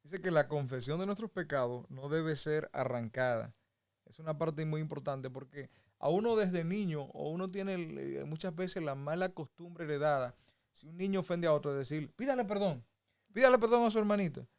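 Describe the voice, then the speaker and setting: Male preacher, somewhat high voice, folksy, conversational Bible teaching. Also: expressive, normal speed, clean tone. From Dominican Republic. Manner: folksy, with homey examples and chuckles.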